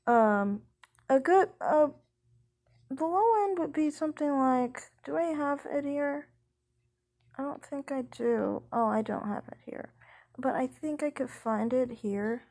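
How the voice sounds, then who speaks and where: A young woman vlogging about her singing in a slightly high voice, rambling and hesitant with lots of fillers. She talks at an unhurried, slow pace. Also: creaky, a little mellow. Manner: speaks slowly. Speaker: singer talking about her voice type. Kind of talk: casual vlog chat.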